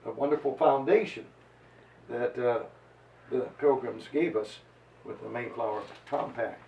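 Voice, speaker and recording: A man giving an emphatic, declamatory dramatized reading of a historical chronicle, stretching out phrases deliberately. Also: mid-range voice, vocal fry, dull audio, a little hiss or hum. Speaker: reader and lecturer on history. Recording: roomy echo, voice distant from microphone.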